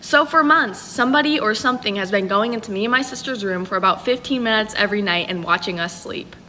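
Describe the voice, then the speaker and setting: A woman's voice: mid-range voice, quick pace, pushed and bright, a little hiss or hum. A young adult woman giving a casual, dramatic storytime and acting out the dialogue.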